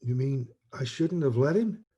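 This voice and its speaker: An actor playing an earnest, apologetic, bumbling elderly guardian angel in an old-time radio play. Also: male voice, mid-range voice, expressive, measured pace, dark tone, natural voice. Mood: meek, apologetic.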